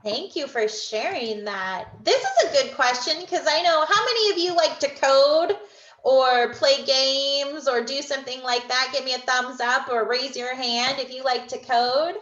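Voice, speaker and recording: A woman's voice: sits high, measured pace, a bit bright. A woman moderating a video session for children, encouraging them and passing along their questions. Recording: echoey, dull video call audio.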